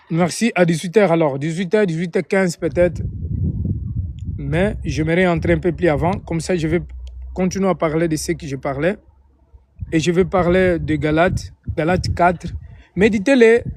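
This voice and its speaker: Man, slightly high pitch, Angolan Portuguese accent, native Portuguese speaker, informal preacher, repetitive phrasing. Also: a little slow, long pauses, darkish tone, no echo. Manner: repeats and rephrases his phrases. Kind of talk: informal live-stream preaching and prayer.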